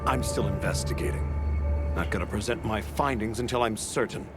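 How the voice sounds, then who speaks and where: An actor playing a brusque, distracted, busy researcher in a video game cutscene, curt and dismissive in manner. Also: male voice, on the low side, brisk, lightly acted. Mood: brusque, impatient. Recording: loud music behind the voice.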